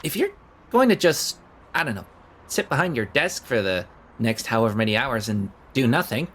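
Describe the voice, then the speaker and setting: Actor as an awkward, overeager young man, swooping pitch. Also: big pitch swings, moderate pace, fairly bright tone, a little hiss or hum. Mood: pushy, nervous. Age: young adult. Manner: hesitant, stammering, rambling. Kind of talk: audio drama acting.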